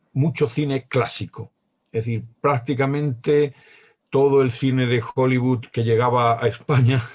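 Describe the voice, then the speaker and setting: An unhurried man in his sixties with a Spanish accent from Spain, thinking aloud on a panel with frequent pauses and restarts. His voice sits at a middling pitch and comes through a somewhat dull, lo-fi video call line. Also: a little mellow. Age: 60s. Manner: slow, reflective, hesitant with many pauses. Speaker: poet guest. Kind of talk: panel discussion.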